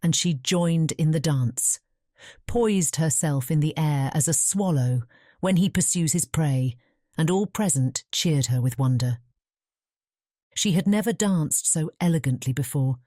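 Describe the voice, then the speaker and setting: Woman, British accent, audiobook narrator, scripted fairy tale reading, deep-set pitch, dark warm tone. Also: measured pace, dry recording, studio quality.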